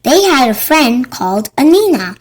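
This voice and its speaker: A woman narrating a children's storybook in a simple, deliberate read-aloud style. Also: high-pitched, sing-song.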